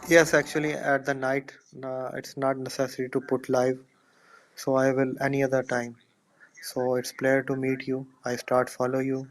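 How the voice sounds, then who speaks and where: A man chatting casually online in halting, non-native English, often repeating his phrases. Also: medium pitch, monotone, rough recording.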